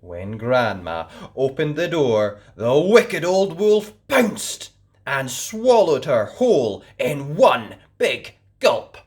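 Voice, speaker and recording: A woman reading a children's story aloud in a low, gentle voice. She takes her time with every phrase, her pitch rising and falling widely to keep young listeners hooked. The telling is warm in rhythm and expressive. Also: takes it slowly. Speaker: storyteller host.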